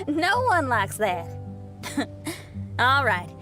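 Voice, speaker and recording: A woman playing a gentle, sweet, easygoing young woman in an anime-style video game. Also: high voice, big pitch swings, very slow, over music, full character voice. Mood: amused, kindly.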